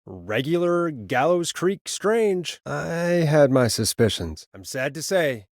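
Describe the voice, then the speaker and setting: A man's voice: on the high side, very animated, slow, dry recording, clean studio sound, full character voice. A man voicing a video game character, a harried but kind late-night radio DJ who is wry and reassuring and sometimes stammers. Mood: uneasy, wry. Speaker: radio host. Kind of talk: video game voice acting, conversational radio patter.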